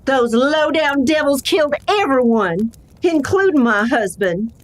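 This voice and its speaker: High-voiced feisty frontier ranch widow played by a woman. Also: expressive, measured pace. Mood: sad, resolute. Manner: folksy frontier drawl and slang. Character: tough widowed Old West ranch woman, feisty and plainspoken. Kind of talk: old-time western radio play acting.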